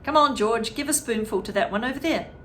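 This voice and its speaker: A woman's voice: on the high side, brisk, a bit bright, slight background noise, pitched above the performer's own voice, lightly acted. Woman doing an eager hopeful farmer dad.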